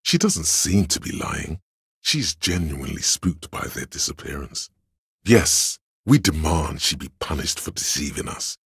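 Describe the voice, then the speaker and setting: Game casting: a grandiose, scheming courtly inner voice; extremely low, husky, declamatory, archaic. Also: male voice, sing-song, a little slow, no room sound, studio quality, exaggerated voice. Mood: theatrical, scheming. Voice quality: gravelly, husky. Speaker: voice actor. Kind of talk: theatrical video game voice lines.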